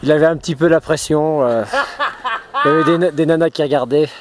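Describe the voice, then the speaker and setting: A man's voice: fairly high pitch, steady tone, fast, very noisy. A young man chatting casually in an amateur holiday video. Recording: amateur camcorder, loud music over voice. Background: loud music.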